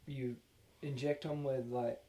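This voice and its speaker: A man's voice: mid-range voice, little pitch movement, measured pace, warm tone. A man co-hosting a casual interview, enthusiastic and joking. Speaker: podcast co-host.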